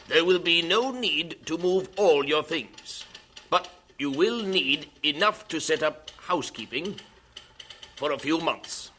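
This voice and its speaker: A bright-voiced, businesslike mission superintendent. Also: male voice, a little high, slight background noise, heavily acted. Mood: formal, deliberate. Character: J O Fraser, worried, businesslike male mission superintendent in China. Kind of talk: old radio drama acting.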